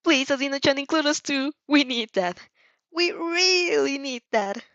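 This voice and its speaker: A woman voicing an excitable teenage schoolboy who begs in a dramatic wail, in an audio fan fiction. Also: sits high, a little slow, no echo, muffled recording, voice pushed up. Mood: pleading, excited. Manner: wailing, over-the-top begging.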